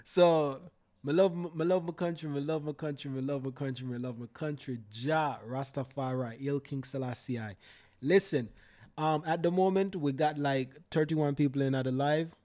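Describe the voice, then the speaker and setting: A man's voice: fairly high pitch, fairly even intonation, moderate pace, dark tone. Fiery Jamaican man ranting live. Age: young adult. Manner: fiery, profane ranting with repetitions. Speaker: livestream host. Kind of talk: heated live commentary.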